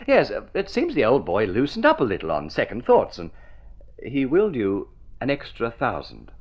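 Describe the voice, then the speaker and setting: A muffled old radio drama recording carries a well-to-do young man, kindly and hopelessly awkward. He hesitates and puts himself down, his pitch swinging dramatically from phrase to phrase. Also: huge pitch swings, moderate pace. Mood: hesitant. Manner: hesitant, stammering, self-deprecating. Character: awkward, lovesick, kindly rich young gentleman. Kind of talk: mid-century radio drama acting.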